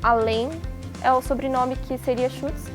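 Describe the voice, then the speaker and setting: This is a woman with a high voice, talking casually in an interview. She hesitates a little as she speaks. Clear music plays underneath. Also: little pitch movement, unhurried.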